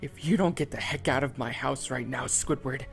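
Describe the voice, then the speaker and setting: A man's voice: mid-range voice, normal speed, creaky, soft background music, natural voice. A man voicing a grieving young male sea sponge, tense and serious. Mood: threatening. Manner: strained, serious.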